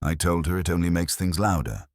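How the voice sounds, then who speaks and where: A man voicing an earnest, technically minded boy assistant in a detective story, literal, loyal and quick to bristle. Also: low-pitched, dry recording, studio quality. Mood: earnest, defensive.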